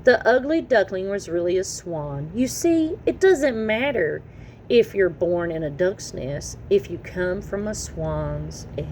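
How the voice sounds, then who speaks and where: Female storyteller, medium pitch, animated read-aloud for young children, noticeable background noise. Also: measured pace. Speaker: children's story reader and channel host.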